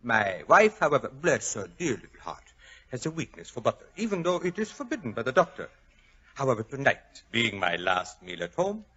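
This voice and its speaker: A man's voice: mid-range voice, pushed and bright, slightly rough, heavily acted. An actor playing a fussy, effusive Frenchman with a flowery, slyly menacing politeness and a French accent, in 1940s radio style. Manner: flowery, pompous, overly polite. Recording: dull old-time radio recording.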